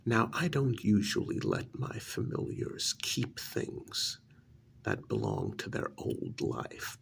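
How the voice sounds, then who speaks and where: A man playing a coldly vengeful, aristocratic vampire master in an ASMR role-play, half-whispering with deliberate, mock-polite menace. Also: mid-range voice, slight background noise, full character voice. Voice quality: breathy half-whisper with gravelly creak.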